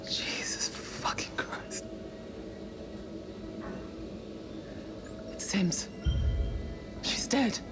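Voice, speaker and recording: An actress playing a wary, stubborn young crew member in a sci-fi horror game, with loud music behind her. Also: takes it slowly, in a whisper, natural voice. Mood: shaken, frightened. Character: wary, stubborn young woman colony-ship crew member, scared but pushing on.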